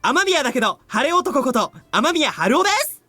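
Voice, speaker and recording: An actor playing a boastful, goofy high school boy in a visual novel, cocky and comically indignant. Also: big pitch swings, quick pace, sharp tone, no room sound, heavily acted. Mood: comic indignation. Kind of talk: Japanese visual novel voice acting.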